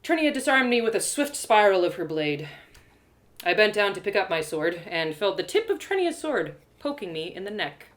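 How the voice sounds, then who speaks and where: A woman reads a first-person fantasy story as its narrator. Her voice sits at a middle pitch and moves expressively through the scripted prose. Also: very animated, moderate pace. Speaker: storyteller, author reading live.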